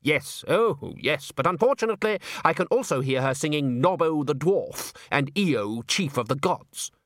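A man's voice: fairly high pitch, animated, normal speed, fairly bright tone, no room sound, voice pushed up. A man voicing a nasty, sardonic theatre manager with a dry, cutting manner in a storybook.

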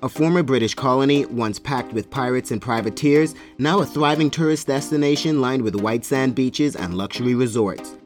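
A man hosting a podcast, reading scripted true-crime narration in measured, clearly phrased storytelling. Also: medium pitch, faint music behind. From the Bahamas. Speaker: podcast host.

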